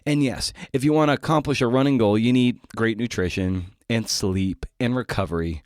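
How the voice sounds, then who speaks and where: A man's voice: mid-range voice, normal speed, darkish tone, dry recording, clean studio sound. A man in his forties hosting a podcast solo, thinking aloud in a casual, conversational, self-questioning way. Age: 40s. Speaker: podcast host. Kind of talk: reflective solo podcast monologue.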